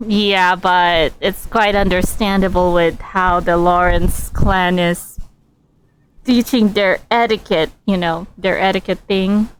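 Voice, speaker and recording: A woman game streamer giving casual, halting live commentary, often searching for words. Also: on the low side, fairly even intonation, a little hiss or hum, low-quality recording.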